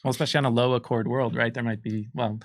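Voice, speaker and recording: A man's voice: mid-range voice, fairly even intonation, fast, dry recording. A man co-hosting a livestream, explaining a game in casual, unscripted chat and thinking aloud as he goes.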